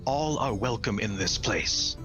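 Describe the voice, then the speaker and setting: Serene elderly female forest healer, fantasy RPG, acted by a man, kindly and soft-mannered, over clear music. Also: lowish voice, lively intonation, a little slow. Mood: gently reproving. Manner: gentle, welcoming, serene. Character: ancient, radiant, kindly woman healer of the treetops.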